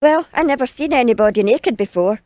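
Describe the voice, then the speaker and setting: A woman's voice: sits high, animated, brisk, a little mellow, no room sound. A woman playing a simple, innocent eighteen-year-old cleaning girl in an audio drama, polite and naively curious. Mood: innocent curiosity.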